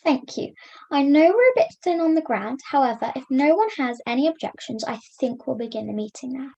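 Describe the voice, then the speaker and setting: A woman's voice: high-pitched, expressive, brisk, a little mellow, husky, highs cut off, heavily acted. A young woman acting a polite, flustered puppet who chairs a meeting in an amateur puppet show. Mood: polite, firm.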